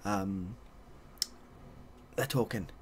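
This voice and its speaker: A softly spoken man improvising a story in a hesitant, rambling, deadpan way. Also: on the low side, drawn-out words. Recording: clearly audible background noise.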